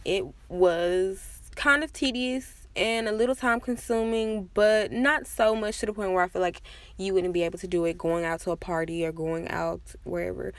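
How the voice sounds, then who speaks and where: A woman's voice: mid-range voice, fairly even intonation, normal speed, no room sound. A woman giving a casual, chatty makeup tutorial voice-over with self-deprecating asides. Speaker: makeup vlogger.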